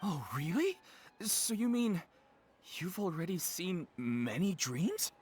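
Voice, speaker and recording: A woman playing a polite young event organizer with a courteous, gently formal way of speaking. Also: fairly low pitch, very animated, slow pace, over loud music. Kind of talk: video game voice acting.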